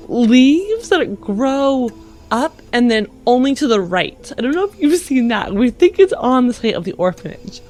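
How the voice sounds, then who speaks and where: A woman improvising in character and narrating as a player in a tabletop game, earnest and halting. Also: a little high, darkish tone.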